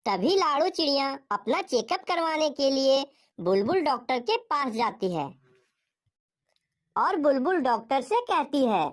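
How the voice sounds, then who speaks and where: Female narrator for a cartoon fable: high-pitched, lively rise and fall, scripted storytelling. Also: measured pace.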